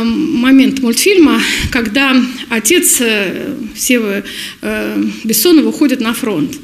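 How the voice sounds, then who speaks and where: A middle-aged woman, a teacher, telling an anecdote in an interview with a halting delivery. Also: a little high. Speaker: children's art teacher. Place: assembly room. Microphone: handheld reporter's microphone.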